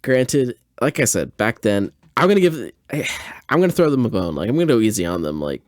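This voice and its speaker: A man's voice: medium pitch, sing-song, fairly quick, no echo, studio quality. A man hosting a podcast with casual, bemused reaction commentary in informal, slangy phrasing. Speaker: podcast host.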